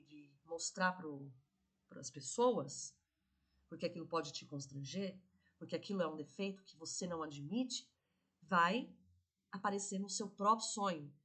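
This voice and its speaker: A woman with a cold, hoarse, hosting a spirituality reaction video and explaining slowly, checking in with her viewers. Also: fairly low pitch, fairly bright tone. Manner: slow, explanatory, often checks in with "tá?".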